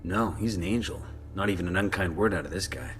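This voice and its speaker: An actor playing a gruff, dry-witted special forces soldier, deadpan and unimpressed, in a video game. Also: male voice, deep voice, fast talker, faint music behind. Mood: dry, curt. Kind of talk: dramatic video game cutscene acting.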